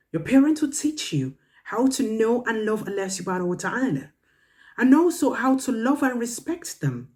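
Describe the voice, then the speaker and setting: A woman's voice: lowish voice, a little mellow. A woman storyteller speaking to children, drawing moral and religious lessons in an earnest, emphatic, instructive manner.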